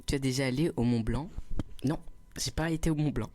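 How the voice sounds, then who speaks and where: A man's voice: mid-range voice, brisk, a little mellow, a little hiss or hum. Playful young man chatting on a livestream. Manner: playful, teasing, self-mocking storyteller. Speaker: livestreamer reading chat comments. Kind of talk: casual livestream chat. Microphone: cheap small clip microphone.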